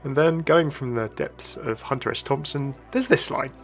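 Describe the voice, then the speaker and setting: A man's voice: medium pitch, moderate pace. A man presenting a chatty, inquisitive explainer as a gaming video host, scripted with casual asides. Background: faint background music.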